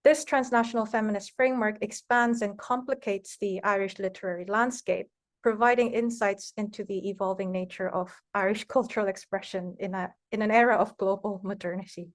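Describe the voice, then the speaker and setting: A woman giving a scripted academic conference presentation in a measured, formal way. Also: medium pitch, steady tone.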